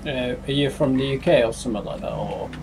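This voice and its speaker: A man's voice: mid-range voice, quick pace, creaky, background noise. A man chatting casually as a fellow roleplay officer, easygoing with short replies. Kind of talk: casual voice chat.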